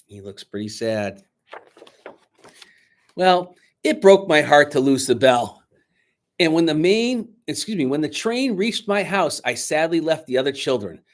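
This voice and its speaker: A man reading a picture book aloud dramatically to children, pausing to coax them with questions like a teacher. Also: on the high side, very animated. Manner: dramatic, teacherly, coaxing young listeners with questions.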